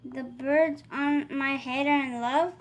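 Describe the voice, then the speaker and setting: A woman's voice: high voice, fairly even intonation, slow, a little hiss or hum. A woman voicing an anxious, exasperated elephant from a children's picture book, questioning everything in disbelief. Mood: incredulous. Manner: questioning, incredulous.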